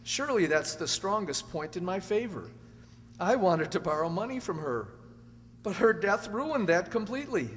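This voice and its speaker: Young husband, played by a man, pleading and emphatic radio-drama delivery, lighter pitch, faint hum behind. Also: sing-song, moderate pace, a bit bright. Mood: explaining. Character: earnest, anxious young husband protesting his innocence. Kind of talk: amateur radio drama acting. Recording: echoey hall, faint hum.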